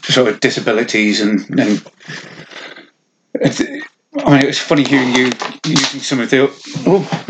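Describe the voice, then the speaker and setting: A relaxed man co-hosts a podcast and keeps the conversation moving with light self-mocking asides. His voice sits at a middle pitch, with faint noise behind it. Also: moderate pace. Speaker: podcast co-host, coach. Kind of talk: casual chat.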